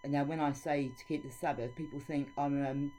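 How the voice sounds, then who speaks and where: A woman's voice: deep voice, huge pitch swings, brisk, warm tone, a little hiss or hum, rough recording. A woman giving an informal devotional teaching, reading scripture aloud and speaking in an earnest, preachy way. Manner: earnest and preachy, with frank opinions.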